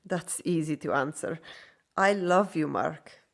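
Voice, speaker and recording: Devoted, gentle circus woman, radio drama, lower register with fry. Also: slow pace, full character voice. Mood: tender. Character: Agatha, gentle, devoted foreign circus performer.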